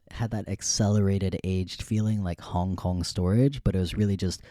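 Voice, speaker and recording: A young man hosting a podcast, telling a story in a relaxed, rambling way with frequent laughs. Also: deep voice, no room sound, clean studio sound. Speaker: podcast host. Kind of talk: casual storytelling.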